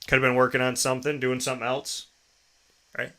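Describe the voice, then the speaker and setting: An American man in his thirties delivers a motivational, personal monologue as a show host. His voice is mid-pitched with a bright, forceful edge. He leans on key phrases, repeating them and posing questions straight to the listener. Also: completely flat delivery, fairly quick. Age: 30s. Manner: emphatic, repeats phrases and poses rhetorical questions.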